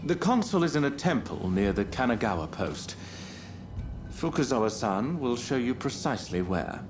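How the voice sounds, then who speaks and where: An actor playing a courteous, composed diplomat in a video game, speaking in a polite, formal way. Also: male voice, mid-range voice, big pitch swings, normal speed, lightly acted. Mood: calm. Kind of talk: video game cutscene acting. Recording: clear background ambience noise.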